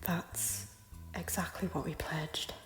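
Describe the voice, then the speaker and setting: A woman playing a guilt-ridden but defiant noble lady in an audio drama, with an intense, theatrical and often breathy delivery. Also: fairly low pitch, fairly even intonation, takes it slowly, faint music behind. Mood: hushed, wary. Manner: theatrical, breathy whispers breaking into intense declarations. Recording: echoey hall with long reverb.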